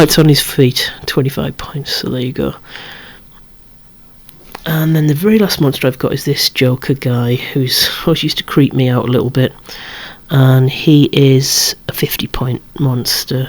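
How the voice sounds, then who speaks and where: A person in a soft, breathy whisper, rambling hesitantly and correcting themselves while showing off a collection in ASMR style. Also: medium pitch. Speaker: collector presenting a vintage toy collection. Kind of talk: whispered ASMR show-and-tell.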